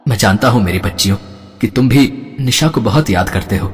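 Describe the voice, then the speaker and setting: A man's voice: lowish voice, a little fast, natural voice. A man voicing a caring father in a cartoon story who coaxes and reassures his daughters. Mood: gentle. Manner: coaxing, reassuring. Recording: reverberant with soft background music.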